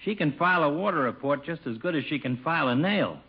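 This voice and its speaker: Wisecracking barber, slightly high animated voice, slangy cajoling manner, vintage radio comedy, dull recording. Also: male voice, fast, husky. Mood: pushy, coaxing. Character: chummy, wisecracking small-town barber, pushy and familiar. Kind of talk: 1940s-50s radio comedy acting.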